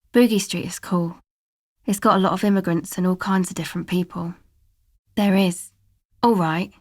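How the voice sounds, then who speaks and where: A woman's voice: lowish voice, no room sound, natural voice. A woman voicing a laid-back young woman from a street rave crew in a video game, talking casually in a dry, hesitant, slangy way. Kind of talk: video game character dialogue.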